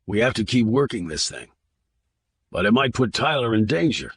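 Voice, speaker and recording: A man voicing a calm male investigator who speaks in a steady, reassuring way. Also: lowish voice, moderate pace, slightly rough, dry recording, full character voice. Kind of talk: audiobook narration.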